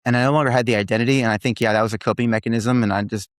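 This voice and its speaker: A man's voice: medium pitch, steady tone, very fast, no room sound, studio quality. A man in his forties, a podcast guest telling his life story candidly and with self-deprecating humor, often restarting his sentences. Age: 40s.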